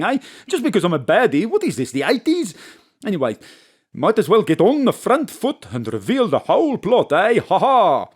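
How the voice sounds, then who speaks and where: Hammy Afrikaans-accented millionaire villain. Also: male voice, sits high. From Birmingham. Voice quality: hammy, theatrical, sneering. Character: eccentric scheming millionaire villain, man, middle-aged. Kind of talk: comic audio drama acting. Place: home studio.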